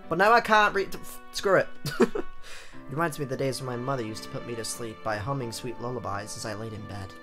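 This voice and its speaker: A man with a mid-range voice plays host of a let's play. He reads visual novel text aloud theatrically and drops in playful asides, with soft game music behind him. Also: medium pitch, brisk.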